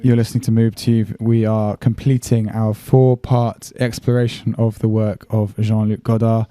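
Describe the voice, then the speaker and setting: A man introducing his podcast episode, his voice husky from laryngitis, speaking in a measured, slightly quiet way. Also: on the low side, level tone, dry recording, clean studio sound. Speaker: podcast host. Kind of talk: scripted show introduction.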